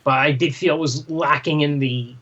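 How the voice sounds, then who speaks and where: A man's voice: on the high side, steady tone, normal speed, bright, forceful tone, slightly rough, muffled recording. A man co-hosting a podcast in casual discussion, giving his review. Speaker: podcast co-host reviewing comics.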